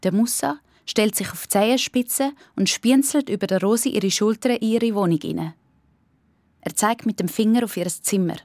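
A woman's voice: mid-range voice, normal speed, a little mellow, no room sound. A woman with a Swiss accent narrating a scripted children's story as part of a radio play.

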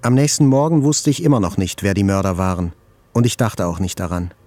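A man narrating a literary first-person story for a radio drama in a measured, reflective manner. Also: low-pitched, a little mellow.

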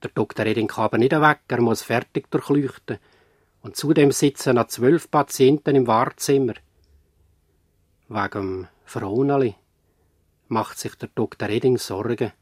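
An earnest male radio play narrator with a medium-pitched voice. He speaks in deliberate, rhetorical phrases, recorded very dry. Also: no room sound, lightly acted. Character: earnest, probing narrator-commentator of a medical drama.

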